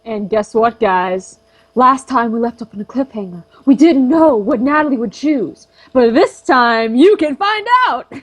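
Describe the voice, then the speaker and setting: Chatty young woman, high voice. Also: expressive, a little slow, poor audio. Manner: chatty, many fillers, laughs easily. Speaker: let's play co-host. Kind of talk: casual gaming commentary.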